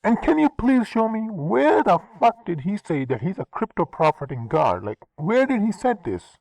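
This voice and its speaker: Pakistani man, heated sarcastic rant, lively swinging pitch, dry close sound, swears freely. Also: moderate pace, gravelly, no echo, low-quality recording. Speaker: commentary channel host. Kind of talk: angry response commentary.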